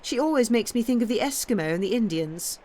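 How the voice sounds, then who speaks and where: A woman's voice: on the high side, lively intonation, fast talker, warm tone, voice pushed up, natural voice. A haughty Victorian countess from a novel, acted out by a woman reader. She drawls and gushes her society small talk, effusive and snobbish at once. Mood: gushing, snobbish. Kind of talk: audiobook character voice.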